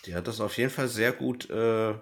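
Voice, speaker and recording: A man's voice: lowish voice, fairly quick, fairly bright tone. A man speaking informally as a podcast host in casual review chat, with a dry, joking manner.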